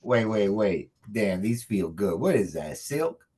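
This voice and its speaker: Husky, animated small-time hitman acted by a man. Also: on the low side, unhurried, full character voice. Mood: delighted. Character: small-time hitman, a brash, foul-mouthed, emotional man. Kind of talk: comedic script table read.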